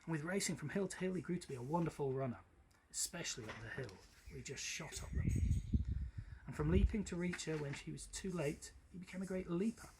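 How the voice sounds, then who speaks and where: A man reading a children's story aloud in an expressive storytelling style. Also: fairly high pitch, fairly quick. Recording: clearly noisy background.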